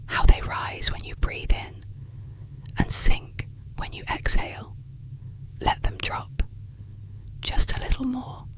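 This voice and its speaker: A breathy, gentle whisper, speaking soothing and reassuring words in the style of an ASMR guided relaxation session. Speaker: ASMR therapist roleplayer.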